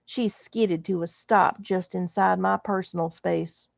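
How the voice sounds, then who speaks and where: A woman's voice: lowish voice, steady tone, unhurried, creaky, no echo. A woman voicing a wry, folksy alien drifter who narrates a space western story in an exaggerated Texas drawl. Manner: folksy, dry, colloquial. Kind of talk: first-person science fiction story narration.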